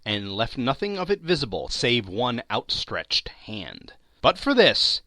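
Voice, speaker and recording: Theatrical male storyteller, highly animated. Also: dry recording. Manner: theatrical, deliberate phrasing with emphatic word-by-word lists. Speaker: audiobook narrator, voice actor. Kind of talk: dramatic scripted literary narration.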